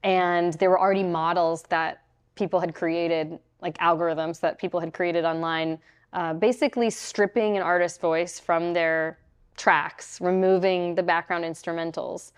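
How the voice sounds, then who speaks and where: A woman speaks with a smooth, resonant voice pitched a little low for a woman. She explains new technology in an upbeat, emphatic manner as an interview guest. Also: steady tone, moderate pace. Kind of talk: conversational interview.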